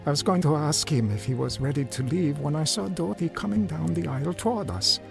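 A creaky-voiced, earnest homeless man. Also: a little high, fairly quick. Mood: reflective. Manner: sincere, reflective. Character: Frank, earnest, humble homeless man telling his story. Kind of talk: radio drama first-person narration and dialogue. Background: music.

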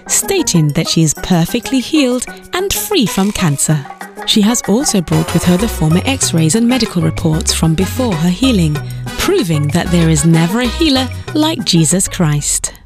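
Lower-voiced woman narrating over music. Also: very animated. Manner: measured, formal announcer delivery. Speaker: program narrator. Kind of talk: scripted narration.

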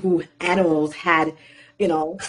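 A woman in her thirties, born in Haiti, telling her life story as an interview guest in an animated, casual way, with many fillers and repeated words. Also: low-pitched, unhurried.

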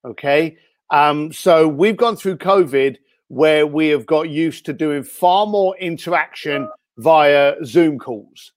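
Blunt high-voiced male guest. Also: slow, a little hiss or hum. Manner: blunt, punchy advice. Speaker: podcast guest. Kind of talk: interview chat.

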